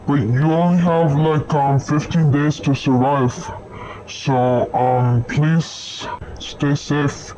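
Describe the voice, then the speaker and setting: A man reading an amateur emergency alert announcement in a hesitant, halting way. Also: fairly high pitch, fairly even intonation, dark tone. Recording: dull lo-fi audio with faint noise.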